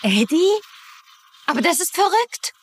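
Hohe, angespannte junge Frau, leises Rauschen. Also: female voice, extremely high pitch, sing-song, measured pace, a little hiss or hum, full character voice. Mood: alarmed. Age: young adult. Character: anxious, guarded young daughter hiding a secret. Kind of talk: dubbed TV drama dialogue in German.

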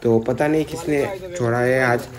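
Flat-voiced young man vlogging by a river. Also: mid-range voice, measured pace, a crowd in the background. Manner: rambling, lots of filler words. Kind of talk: casual vlog narration. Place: outdoors by a jungle river.

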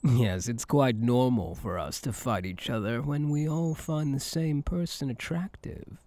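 A man playing a possessive yet tender elf hunter in an intimate ASMR roleplay, speaking in a coaxing, soothing and intense way with a husky voice. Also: mid-range voice, unhurried, mellow, no room sound, lightly acted. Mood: confident, explaining. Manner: coaxing, soothing, intense, often dropping to a whisper.

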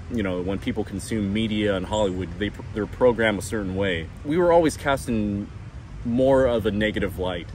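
A man in his thirties with an American accent, talking bluntly and casually to camera in a park. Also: fairly low pitch. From California. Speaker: vlogger. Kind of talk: candid talk to camera. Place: sunny city park outdoors. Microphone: wireless clip-on mic, camera on tripod. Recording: outdoor park with traffic noise.

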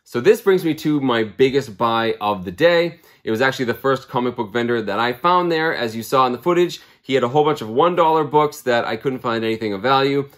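A man's voice: mid-range voice, a little fast, few pauses, fairly bright tone. Chatty man showing comics to camera. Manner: chatty, upbeat, lots of fillers. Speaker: comic book channel host. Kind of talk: casual video blog, showing items. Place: small room lined with comic books. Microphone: camera at close range.

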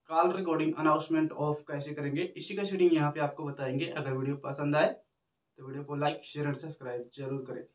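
Higher-voiced man, roomy distant recording. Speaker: tech tutorial host. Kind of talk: step-by-step phone tutorial to camera. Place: small indoor room with backdrop. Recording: roomy, distant mic, dull muffled sound.

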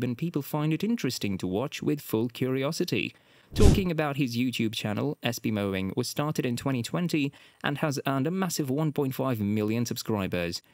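A male narrator reads a scripted documentary voiceover on a close studio mic, with an upbeat, informative storytelling delivery. His voice sits at a middle pitch for a man. The sound is dry, with no room around it. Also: a little mellow, no room sound.

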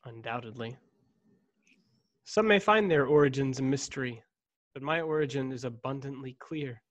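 A man's voice: mid-range voice, muffled recording, lightly acted. A man in his thirties playing a brooding, stiffly formal clone in a science-fiction radio play. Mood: guarded, formal. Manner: measured, stiffly formal diction. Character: brooding, formal young man, secret clone of noble family. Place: home room on video call. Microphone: desk condenser microphone, headset.

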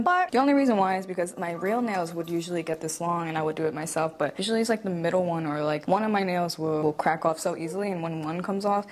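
A woman's voice: lowish voice, slight background noise. A woman in her twenties chatting casually to her viewers in a lifestyle vlog, slangy and direct, on a clip-on mic in her apartment. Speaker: lifestyle vlogger. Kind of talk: casual vlog chat to camera. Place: apartment rooms, indoors. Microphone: clip-on lapel mic.

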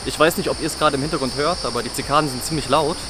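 A man's voice: fairly high pitch, racing. A young man chatting casually for a travel vlog, recorded outdoors in nature. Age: young adult. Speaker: friend joining the trip. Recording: noisy outdoors with loud cicadas.

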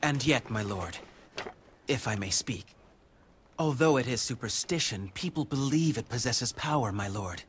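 Measured mid-pitched strategist. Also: male voice, lively intonation, a bit bright, husky. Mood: measured, cautious. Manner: measured, formal. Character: deferential, thoughtful military strategist. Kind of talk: dramatic English video game cutscene acting.

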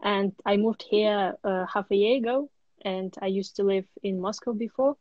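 Young woman, flat steady voice. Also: mid-range voice, normal speed, no room sound, dull audio. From Russia. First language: Russian. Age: young adult. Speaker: guest artist. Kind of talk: casual interview answers. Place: indoor room at home. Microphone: video call on phone.

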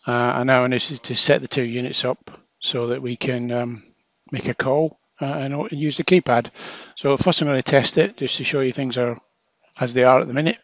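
A man's voice: mid-range voice, steady tone, moderate pace, a bit bright, no echo. A man narrating a hands-on technical tutorial as a trainer, explaining informally step by step.

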